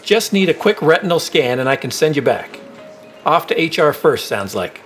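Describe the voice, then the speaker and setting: An actor playing a friendly, easygoing corporate receptionist in a naturalistic audio drama. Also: male voice, fairly high pitch, sing-song, moderate pace, soft background music. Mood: sincere, encouraging.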